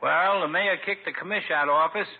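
Blunt working-class small-town fellow, slightly high voice, slangy, folksy, dull vintage radio sound. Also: male voice, a little fast, husky. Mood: feisty. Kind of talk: 1950s radio comedy acting. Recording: dull, noisy 1950s radio recording.

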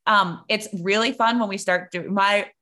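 A woman's voice: medium pitch, fairly even intonation, moderate pace, bright, forceful tone. A young woman, a singing coach, chatting on a podcast and breaking into sung demonstrations. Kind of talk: casual podcast chat.

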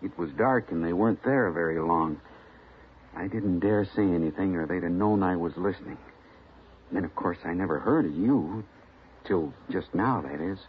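A man's voice: sits low, moderate pace, close to an everyday voice. An actor playing an odd, fussy traveling whiskey salesman in a 1950s radio drama, talking in a rambling, nervous, oddly matter-of-fact way. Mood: uneasy. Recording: tinny old radio broadcast, faint hiss.

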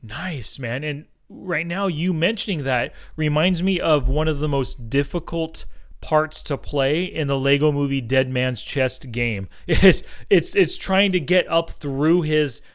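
A man's voice: on the high side, unhurried. A man hosting a casual movie podcast, talking in a chatty, slangy, enthusiastic way. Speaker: podcast host.

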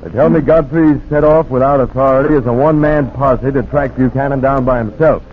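A man's voice: mid-range voice, a little fast, a little hiss or hum, natural voice. An actor playing a grim, folksy rural lawman in a 1940s radio drama. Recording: muffled, narrow-band vintage radio recording.

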